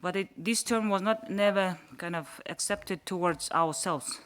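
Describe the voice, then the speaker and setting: A man from Yakutia whose first language is Sakha, speaking haltingly as a panelist in a panel discussion and searching for words. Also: high-pitched, fairly bright tone, clean tone. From Yakutia, Russia.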